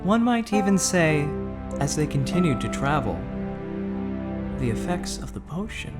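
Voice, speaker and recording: Slow, animated male storyteller, fairly high-pitched. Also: loud music behind the voice. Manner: measured, dramatic storyteller delivery. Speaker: narrator. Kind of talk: theatrical rhyming storybook narration.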